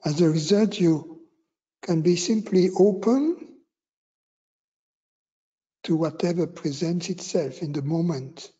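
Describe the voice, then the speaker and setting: Male meditation guide, very slow with long pauses, mellow and somewhat husky, slightly dull recording. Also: on the high side. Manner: unhurried, with long pauses between short phrases. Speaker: meditation teacher.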